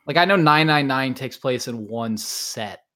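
A man's voice: medium pitch, animated, a little slow, a crowd in the background. Casual male podcast host. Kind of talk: casual chat, interviewing a guest.

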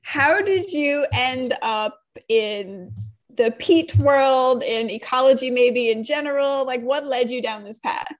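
A woman hosting a casual interview, talking loosely with many fillers and quick laughs. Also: high voice. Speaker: host, interviewer.

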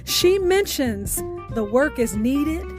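A woman hosts a radio storytelling show in a high-pitched voice. She reads her scripted outro slowly and deliberately, each item measured out, while guitar music plays clearly behind her. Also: big pitch swings. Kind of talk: presenting a featured guest, scripted outro.

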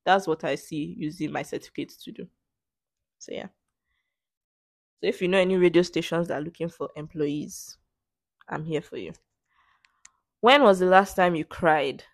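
A woman's voice: on the low side, very animated, measured pace, pauses often, no room sound, studio quality. A young woman with a Nigerian English accent, host of a casual solo podcast, answering questions in a playful, sarcastic way. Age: young adult. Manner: sarcastic, playful, laughs often.

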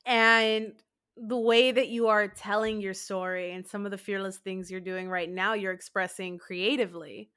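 A woman's voice: mid-range voice, fairly even intonation, moderate pace, fairly bright tone, clear, resonant tone. A woman co-hosting a casual conversation, speaking confidently and emphatically.

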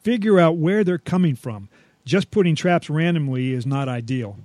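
Higher-pitched man, dry close-up radio sound. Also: lively intonation, moderate pace, darkish tone, dry recording. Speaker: co-host of a home improvement radio show. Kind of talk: jokey scripted home-advice banter.

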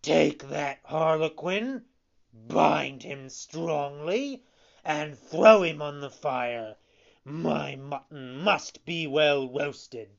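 A narrator voicing an imposing bearded puppet showman, stern but capable of pity, speaking slowly and emphatically. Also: mid-range voice, vocal fry, highs cut off. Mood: commanding. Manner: slow, emphatic, commanding.

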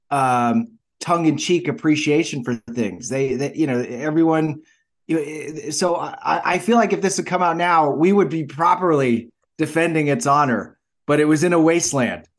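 A man co-hosting a casual film podcast, talking in an enthusiastic, halting, rambling way with some swearing. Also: on the high side. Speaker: podcast co-host. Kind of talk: casual chat about films.

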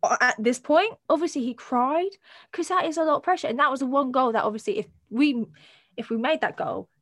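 A woman's voice: high voice, a little fast, no room sound, clean studio sound. A woman co-hosting a casual podcast with friends, telling stories with emphatic repeats. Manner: animated storytelling, emphatic repeats. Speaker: podcast co-host. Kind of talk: casual chat among friends.

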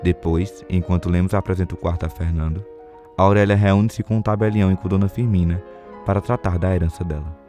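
Man, Brazilian accent, very low pitch, scripted radio drama narration, faint music behind. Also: fairly even intonation, brisk, mellow.

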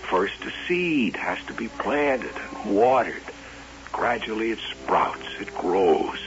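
Gravelly, obsessive carny doll-maker, wide-swinging voice, muffled old radio with music. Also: male voice, fairly low pitch, big pitch swings, takes it slowly, slight background noise, exaggerated voice. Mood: brooding. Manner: brooding, dreamy, creaky. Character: brooding male carnival doll-maker, obsessive. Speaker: carnival barker. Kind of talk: 1970s radio drama acting.

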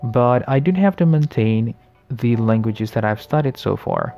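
A man's voice: medium pitch, lively intonation, gravelly, mellow, soft background music. Friendly late-20s male podcast host. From the Americas. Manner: friendly, reflective, often pausing with fillers and restarts. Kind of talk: casual solo podcast monologue.

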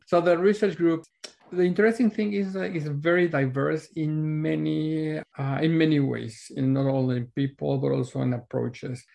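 Creaky-voiced Mexican man in an interview. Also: fairly high pitch, steady tone, moderate pace, a little mellow. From Mexico City, Mexico. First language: Spanish. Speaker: interview guest, PhD student. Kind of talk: casual interview answers.

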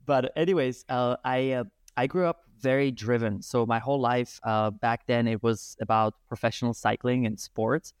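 A man, a guest telling his life story in animated talk full of hesitations. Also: mid-range voice, moderate pace, clear, resonant tone, no room sound, clean studio sound. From Czech Republic. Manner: animated, hesitant with many fillers. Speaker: guest, breathwork and movement teacher. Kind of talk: casual storytelling interview.

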